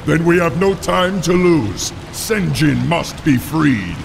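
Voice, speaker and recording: A man playing a resolute, commanding heroic orc war chief in a video game, with a gravelly voice. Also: on the high side, animated, takes it slowly, noisy background, exaggerated voice. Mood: urgent. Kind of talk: video game hero acting. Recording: rain and game ambience behind.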